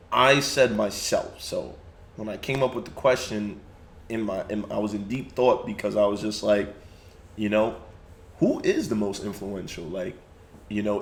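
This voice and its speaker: Low-voiced man hosting in a roomy space. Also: slight background noise. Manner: easygoing, posing questions to the group. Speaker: podcast host. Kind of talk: casual group discussion.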